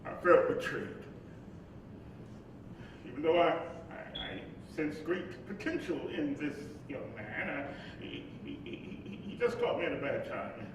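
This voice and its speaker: An actor playing a weary, embattled civil rights leader and husband in a staged play, speaking emphatically like an orator. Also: male voice, lively intonation, unhurried. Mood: regretful, faltering. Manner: oratorical, emphatic, stammering when troubled. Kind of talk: staged play reading, dramatic monologue and dialogue. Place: live staged reading in an echoey hall. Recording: echoey live hall, distant mic, rumbly noise.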